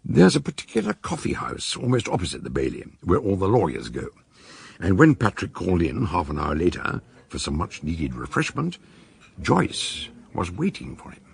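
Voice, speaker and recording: A man with a British accent acting as the narrator of a detective radio drama, husky and gravelly, telling the story with animation. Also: deep voice, wildly swooping pitch, normal speed, no echo, heavily acted. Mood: storytelling. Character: male detective-story narrator recounting a murder case.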